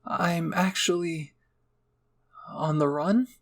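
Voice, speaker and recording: A man's voice: a little high, slow, a bit bright, slight background noise, voice pushed up. A man voicing a nervous young runaway in an anime fan roleplay, shy and hesitant with a stammer.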